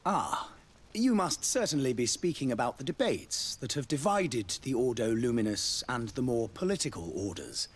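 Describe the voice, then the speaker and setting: Theocratic ambassador, fantasy game. Courtly and ornate, husky voice, very varied intonation. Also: male voice, medium pitch, big pitch swings, moderate pace. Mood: smooth, assured. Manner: formal, florid, diplomatic. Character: courtly, devout male ambassador of a theocratic nation. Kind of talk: scripted fantasy video game dialogue.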